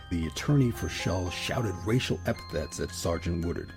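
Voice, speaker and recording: A man narrating a scripted history documentary as its host in a measured, solemn, deliberate manner. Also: low voice, gravelly. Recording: narration over a music bed. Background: music.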